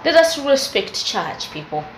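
A woman's voice: a little high, big pitch swings, measured pace. A young adult woman with an East African English accent, giving an exasperated, preachy opinion commentary. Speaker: vlogger. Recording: echoey room with faint noise.

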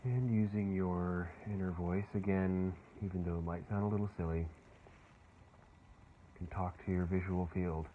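A man leading a guided meditation in a soothing, unhurried way, leaving long pauses. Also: low voice, steady tone. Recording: muffled phone-like sound with clear background noise.

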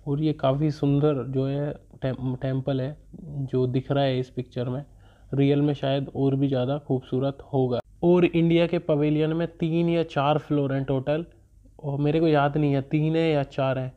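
A man's voice: medium pitch, flat delivery, moderate pace. A young man chatting casually in a travel vlog, rambling with loose fillers as he walks viewers around. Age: young adult. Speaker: vlogger. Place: small room in front of a curtain, then walking through an expo. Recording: tinny phone-like sound.

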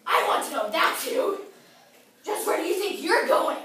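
A woman's voice: very high voice, very animated, normal speed, sharp tone, heavily acted. A woman playing a mean, bossy old troll in a children's fairy-tale play, hollering rudely. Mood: bellowing, demanding. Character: mean, bad-tempered, hungry old troll. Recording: echoey room, far from mic.

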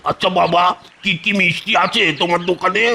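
A man plays an elderly cartoon grandpa with a gravelly, slightly high voice. He muses hesitantly and repeats himself, with music playing behind him. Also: fairly even intonation, fairly quick. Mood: genial, dithering. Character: dithering, genial old grandfather shopping for sweets. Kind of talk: cartoon voice acting.

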